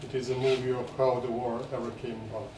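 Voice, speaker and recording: A man's voice: mid-range voice, little pitch movement, quick pace. A man giving unscripted interview answers as a film director, hesitant and repeating words as he explains. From Serbia. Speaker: documentary film director, festival guest. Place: echoey screening venue. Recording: echoey room, distant mic, faint noise.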